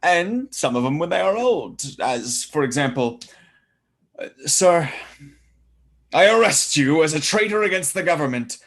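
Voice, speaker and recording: An actor playing a commanding young gentleman, with a theatrical, declamatory delivery. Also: a little high, expressive, unhurried, long pauses. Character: young gentleman arresting a traitor, male, commanding. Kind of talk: stage-play reading.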